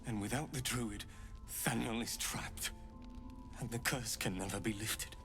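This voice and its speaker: A man playing a haunted, desperate video game character, halting and pleading after being lost in shadows. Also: on the low side, fairly even intonation, over music. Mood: pleading, distraught.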